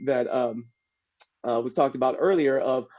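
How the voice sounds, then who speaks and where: Man, from the Bay Area, capoeira practitioner and actor, reflective, halting, mellow voice, muffled video call. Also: medium pitch. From San Francisco Bay Area. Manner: halting, reflective, searching for words. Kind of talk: casual panel discussion.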